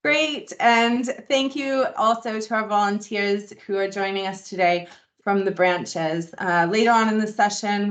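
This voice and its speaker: A middle-aged woman with a Boston accent presents a membership induction over a video call. Her delivery is friendly and conversational, with steady ums between points. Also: mid-range voice. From Boston, USA. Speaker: membership engagement manager presenting a webinar. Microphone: video call microphone.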